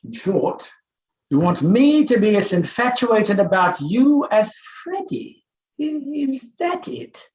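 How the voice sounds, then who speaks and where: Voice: medium pitch, sing-song, slow pace, a little mellow, muffled recording. Overbearing middle-aged male professor played by an actor, stage drama, declamatory and scornful, wide swooping delivery, echoey room. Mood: irritated. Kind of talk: theatrical staged play reading. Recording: roomy, echoing sound.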